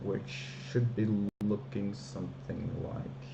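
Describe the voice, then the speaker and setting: A man from the Flemish part of Belgium, a native Dutch speaker with a Flemish accent, walking through software on a casual live stream and hesitating often with fillers and restarts. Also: on the low side, steady tone, takes it slowly, creaky, reverberant, background noise.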